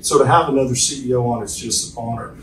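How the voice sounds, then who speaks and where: A man's voice: mid-range voice, fairly even intonation, fast talker, fairly bright tone, slight background noise. A man hosting a podcast in a hotel suite, talking in a friendly, upbeat, chatty way. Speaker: podcast host. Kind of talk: casual interview. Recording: roomy hotel suite, distant mic.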